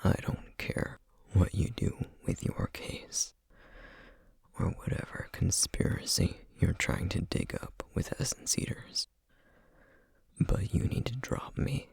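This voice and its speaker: A man voicing a brooding, guilt-ridden, vampire-like essence eater in an ASMR roleplay drama, terse and bitter, with a breathy, gravelly near-whisper. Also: low-pitched, takes it slowly, darkish tone, no echo, studio quality, full character voice. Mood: anguished, guilty.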